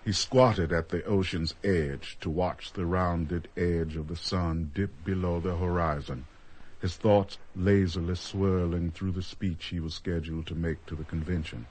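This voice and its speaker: A man with a husky voice narrating a scripted radio drama in a measured, dramatic storytelling manner. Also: sits low, fairly even intonation, a little mellow.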